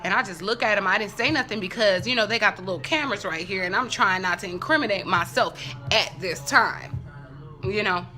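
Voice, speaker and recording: A woman vlogger telling a story casually and comedically to her viewers, animated and profane, with conversational asides and acted-out dialogue. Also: mid-range voice, a little fast, soft background music.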